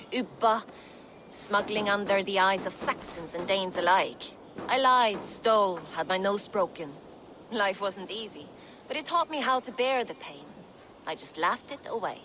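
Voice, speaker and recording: A woman's voice: mid-range voice, animated, moderate pace, fairly bright tone, a little hiss or hum, lightly acted, low-quality recording. Actress as brash Viking smuggler woman, faint ambience. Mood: candid, fond. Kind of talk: video game cutscene acting.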